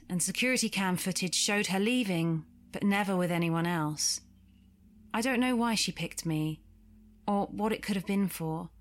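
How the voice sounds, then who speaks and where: A woman with a slightly low-set voice narrates a personal story from a script. She tells it in the first person, as a reader of listener-submitted tales. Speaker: guest reader of listener stories.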